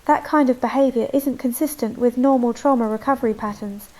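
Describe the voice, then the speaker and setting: An actress playing a concerned hospital night worker calling a radio show, clinical and earnest. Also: female voice, fairly high pitch, fairly quick, dark tone, close to an everyday voice. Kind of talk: radio drama call-in report.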